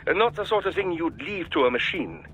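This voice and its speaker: An actor playing a genial, fussy, erudite male scientist in a video game, speaking in a formal, wordy, over-polite and precise way with a husky voice. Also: on the high side, big pitch swings, normal speed, a bit bright, muffled recording. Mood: enthusiastic, lecturing.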